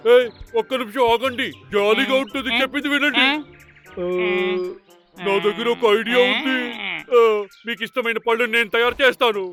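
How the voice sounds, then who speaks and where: A man voicing an excitable, self-important forest animal leader in a children's cartoon, theatrical and pompous. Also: very high-pitched, moderate pace, faint music behind. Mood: eager, bossy. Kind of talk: dubbed children's cartoon acting.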